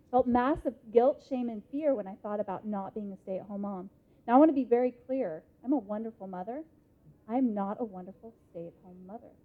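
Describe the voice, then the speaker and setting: A woman in her thirties with an American accent gives an interactive motivational talk in a conference hall, speaking emphatically with deliberate pauses. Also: mid-range voice. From Idaho, United States. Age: 30s. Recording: muffled lo-fi live hall recording.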